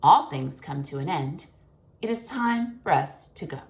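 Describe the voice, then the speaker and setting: A woman's voice: deep voice, lively intonation, measured pace, slight background noise. A woman reading aloud as a long-winded, grandiose father swan in a children's story, speaking with theatrical grandeur. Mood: grandiloquent.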